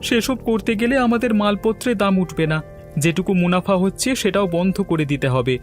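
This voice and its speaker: A man's voice: high voice, expressive, a little fast. A man voicing an earnest, deferential shop helper in a cartoon story, reasoning. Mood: earnest, cautioning. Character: earnest male chop-shop helper advising his boss. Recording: faint music under the voice.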